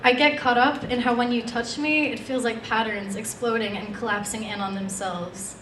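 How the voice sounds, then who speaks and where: A woman's voice: a little high, steady tone, moderate pace, a bit bright. Young woman performing spoken word, echoey room. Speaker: slam poet. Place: stage in an indoor event room. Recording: echoey room, distant mic, faint noise.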